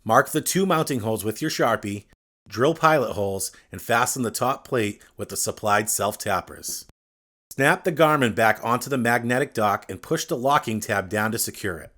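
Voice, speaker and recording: A man reading a scripted step-by-step tutorial, addressing the viewer directly in a clear instructional manner. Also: medium pitch, lively intonation, moderate pace, pushed and bright. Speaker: instructional video narrator.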